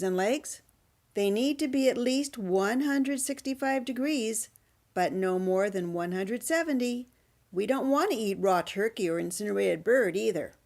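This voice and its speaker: A woman's voice: medium pitch, gravelly, a little mellow. A woman playing a bossy, particular sister who takes charge of the cooking, in a radio drama.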